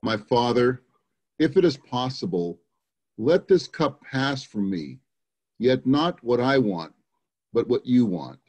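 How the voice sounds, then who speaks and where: A man's voice: mid-range voice, very animated, slow, a little mellow. A man giving a dramatic reading of scripture as a church reader, on a slightly dull recording.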